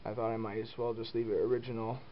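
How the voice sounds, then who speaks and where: A man casually walking through his basement wiring on camera, unhurried and full of ums and uhs. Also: lowish voice, flat delivery. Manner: unhurried, many ums and uhs, plain easygoing talk. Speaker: hobbyist showing home phone wiring. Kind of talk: casual filmed walkthrough. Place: basement, indoors. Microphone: handheld camera mic, speaker off screen behind it. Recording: dull lo-fi camera audio with faint hiss.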